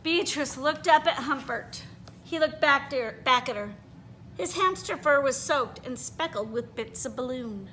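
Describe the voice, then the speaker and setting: A woman reading a children's picture book aloud in an expressive, animated way, pausing to put questions to her listeners. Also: sits high, a little hiss or hum. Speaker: read-aloud storyteller.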